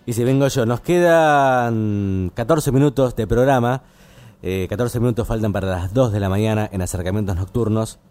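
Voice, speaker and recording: A man with a Buenos Aires Argentine accent hosting casual late-night radio, explaining things in a halting, rambling way. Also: lowish voice, darkish tone, faint music behind. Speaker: radio host.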